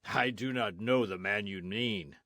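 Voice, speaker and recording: A deep-voiced old friar in his sixties speaks with stern, fearless defiance, as a man puts him on. The sound is dry and close. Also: a little slow, fairly bright tone, dry recording. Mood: defiant. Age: 60s.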